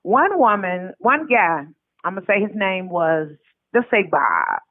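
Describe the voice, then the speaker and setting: A woman's voice: mid-range voice, sing-song, unhurried, no room sound. An American woman telling personal stories casually as an interview guest, colloquial and acting out quoted dialogue. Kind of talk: casual conversational storytelling. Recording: tinny narrow phone line.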